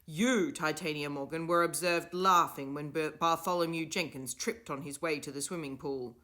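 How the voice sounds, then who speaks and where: A woman voicing a stern male academy professor who bursts into shouting rage. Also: low-pitched, steady tone, normal speed. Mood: cold, stern. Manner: clipped, then shouting in rage.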